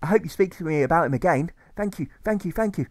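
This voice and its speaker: A man's voice: on the high side, lively intonation, no room sound, pitched above the performer's own voice, lightly acted. Storybook young boy, read by a man: earnest, hesitant, affectionate, recorded dry. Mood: hesitant, grateful. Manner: halting, earnest.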